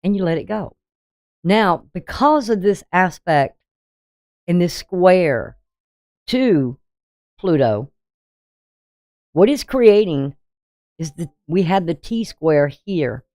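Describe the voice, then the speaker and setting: A woman with a naturally deep, low voice talks through a daily astrology reading in a blunt, conversational way, often tossing in "you know". The sound is dry and close, with no room echo. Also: animated, takes it slowly, long pauses, a little mellow. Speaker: astrology channel host.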